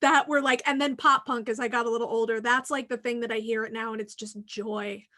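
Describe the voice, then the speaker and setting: A woman's voice: a little high, steady tone, fairly quick, sharp tone. A woman in her thirties talking casually as a podcast co-host. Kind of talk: casual chat.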